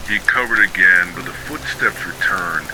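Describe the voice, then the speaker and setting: A man narrating scripted ghost stories for sleep in a measured, dramatic storytelling manner. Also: low voice, noisy background.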